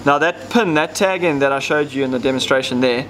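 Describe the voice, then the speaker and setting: A man's voice: medium pitch, brisk, a bit bright, slight background noise, low-quality recording. A man, casually explaining step by step how to rig fishing gear, with plenty of ums. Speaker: fishing tackle demonstrator. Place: indoor tackle shop counter.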